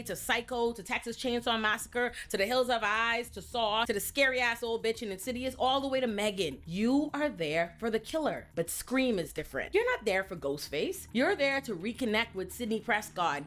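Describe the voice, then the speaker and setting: A woman talks through a commentary video in a loose, funny, foul-mouthed way. Her pitch swoops up and down constantly, and her voice sits in an ordinary middle register. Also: slight background noise. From Bahamas. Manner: animated, profane, comic asides. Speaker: video essay host. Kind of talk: casual commentary video essay.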